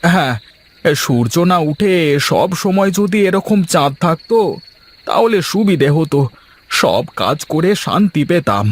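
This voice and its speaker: A man's voice: fairly high pitch, sing-song, measured pace. A man voicing a weary, grumbling village farmer in a cartoon folk tale, with exclamatory, folksy talk. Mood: pleased, musing.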